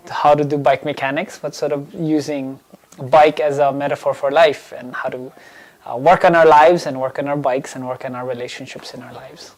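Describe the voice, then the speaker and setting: Halting man with many fillers. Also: on the high side. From Hawaii, born in the Philippines. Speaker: program manager of a youth bike shop. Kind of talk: spoken welcome and introduction. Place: community bike shop, on site.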